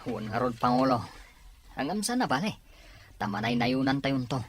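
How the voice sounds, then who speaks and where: A man playing a loyal gang member and recruiter in an Ilocano audio drama, with a husky voice. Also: mid-range voice, sing-song, moderate pace. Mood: deferential. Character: loyal young male recruiter for a rebel gang.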